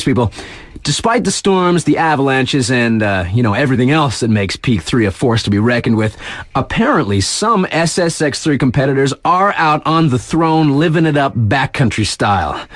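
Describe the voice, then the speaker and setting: A man playing a laid-back, wry young radio DJ in a video game, making casual, slangy announcements with a playful deadpan. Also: a little high, dry recording, close to an everyday voice. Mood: laid-back hype.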